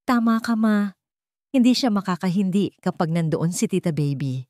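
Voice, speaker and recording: Babaeng gumaganap bilang kabadong sunud-sunurang anak na lalaki. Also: female voice, medium pitch, expressive, normal speed, darkish tone, no echo, clean studio sound, close to an everyday voice. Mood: resigned. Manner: nervous, hesitant. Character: anxious, weak-willed adult son under his mother's thumb.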